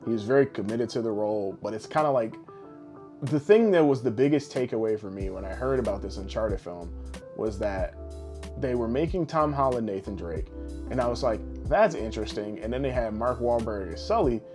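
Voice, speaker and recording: A man's voice: medium pitch, expressive, normal speed. Casual man podcasting over guitar music. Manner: profane, joking. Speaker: podcast co-host. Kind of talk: casual banter about films and games.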